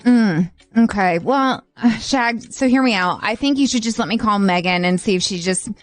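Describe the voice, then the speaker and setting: A woman's voice: medium pitch, normal speed, soft background music. A woman hosting a radio call-in show, chatting casually with an informal, sympathetic manner.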